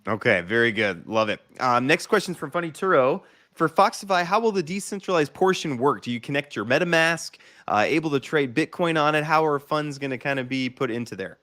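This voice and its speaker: A man's voice: fairly high pitch, animated, fairly quick, sharp tone. A man hosting a livestream interview, upbeat and encouraging as he reads out audience questions. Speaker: livestream host.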